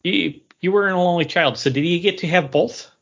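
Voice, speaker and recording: A man with a slightly high voice chats casually as a podcast co-host. He rambles playfully from point to point. The audio is a bit dull and lo-fi. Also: normal speed, gravelly, fairly bright tone. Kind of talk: casual chat.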